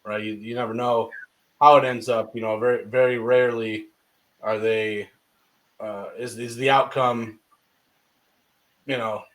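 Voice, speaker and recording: A man's voice: medium pitch, flat delivery, a little slow, long pauses, rough recording. A man hosting a casual interview, affirming his guest and tagging his points with "right?". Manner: affirming, asks leading questions with "right?". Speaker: podcast host.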